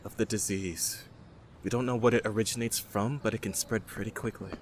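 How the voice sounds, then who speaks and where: A man's voice: fairly low pitch, fairly even intonation, fairly quick, very noisy, lightly acted. An actor playing an exasperated, earnest young man in an audio drama.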